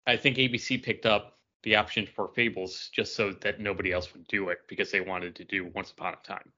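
A man's voice: on the low side, flat delivery, a little fast, bright, forceful tone. A man chatting casually as a podcast co-host, with a wry, joking manner. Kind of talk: casual chat. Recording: dull lo-fi audio.

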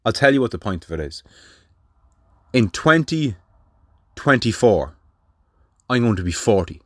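A man's voice: medium pitch, sing-song, measured pace, a bit bright, no room sound. A man, talking alone on his podcast in a blunt way, swearing freely and firing rhetorical questions. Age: 30s. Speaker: podcast host. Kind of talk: solo monologue.

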